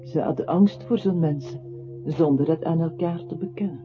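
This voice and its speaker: A man acting an observant, matter-of-fact narrating voice in a literary radio play, deliberate and articulate. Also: a little high, lightly acted. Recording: tinny band-limited radio drama with music.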